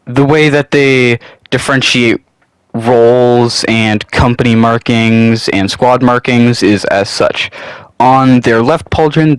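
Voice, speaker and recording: A man's voice: mid-range voice, clear, resonant tone, no echo, poor audio. A young man with a cold, sniffling a little, hosting his channel and talking casually through a loosely scripted hobby topic, rambling and swearing now and then. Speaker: channel host.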